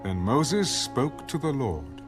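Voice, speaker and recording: Expressive, solemn scripture narrator. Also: male voice, mid-range voice, takes it slowly. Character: formal male narrator of scripture. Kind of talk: dramatized audio Bible narration. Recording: music bed under the voice.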